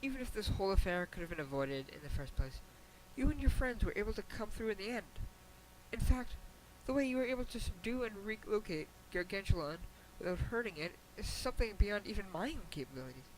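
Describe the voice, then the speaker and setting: A man reading as a high-voiced, regal pony princess. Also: animated, low-quality recording. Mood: formal, patient. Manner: formal, measured, wry understatement. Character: regal, patient pony princess, female, gently admonishing her student. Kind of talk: dramatic reading of a fan fiction letter. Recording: hissy amateur home recording.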